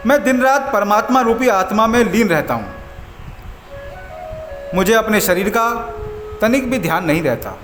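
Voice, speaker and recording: A man voicing a serene, humble enlightened sage in a devotional story, teaching in a solemn, deliberate manner. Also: high-pitched, animated, fairly bright tone. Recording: echoey voice over music.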